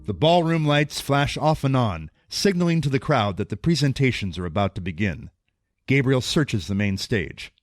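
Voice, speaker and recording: A man's voice: medium pitch, very animated, normal speed, no room sound, clean studio sound. A man reading scripted, dramatic narration for an audio drama. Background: prominent music.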